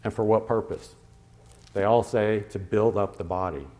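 Male pastor, lower voice, conversational sermon delivery with fillers, lands each scripture reference slowly. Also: steady tone, darkish tone. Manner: slows to stress scripture references.

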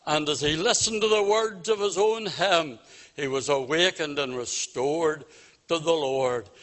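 A man preaching an evangelistic gospel sermon, solemn and exhorting, with deliberate phrasing and direct appeals to his listeners. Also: on the high side, fairly bright tone. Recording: long reverb of a meeting hall.

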